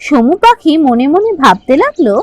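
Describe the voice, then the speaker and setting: A woman's voice: high-pitched, very animated, normal speed, a little mellow, no echo, faint music behind. A woman narrating a children's fairy tale cartoon in a scripted storytelling style.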